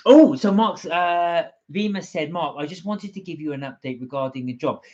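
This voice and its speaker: A man's voice: fairly high pitch, moderate pace, darkish tone. A man with a British accent co-hosting a casual live stream, chatting in a rambling, hesitant way and reading out viewer comments. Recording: dull, lo-fi livestream audio.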